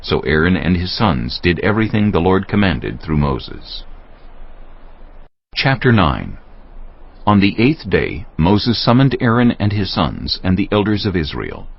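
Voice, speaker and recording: A man narrating scripture from a script in a measured, formal manner. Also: low voice, sing-song, gravelly, muffled recording.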